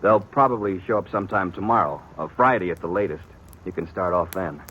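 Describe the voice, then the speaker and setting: An actor playing a stern young rancher in a radio western, talking in blunt, emphatic frontier phrases. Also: male voice, sits low, brisk, fairly bright tone. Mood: subdued. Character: stern, hearty young rancher, protective brother, fixed in opinions. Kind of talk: 1950s radio western acting. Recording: dull, noisy vintage radio recording.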